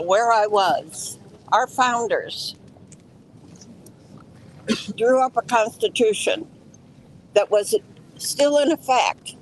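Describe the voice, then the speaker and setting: An older woman from Colorado, loud and plain-spoken, making a statement outdoors as a case's lead petitioner. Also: on the high side, slight background noise, low-quality recording. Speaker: lead petitioner speaking at a press conference. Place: outdoors on a city street.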